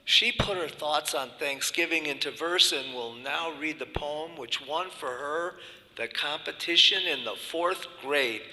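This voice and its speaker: A man's voice: fairly high pitch, a little slow, pushed and bright. A man playing a formal, fumbling master of ceremonies at a school contest in a live radio play. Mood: announcing. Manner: formal, fumbling, stage-announcing. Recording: long hall reverb on stage.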